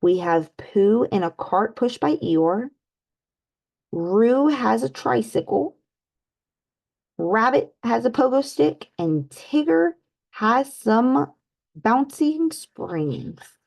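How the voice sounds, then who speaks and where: A woman's voice: on the high side, expressive, takes it slowly, vocal fry, darkish tone, full character voice, poor audio. A woman voicing a motherly female kangaroo calling out a race in a storybook reading. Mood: announcing. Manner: calling out, announcing.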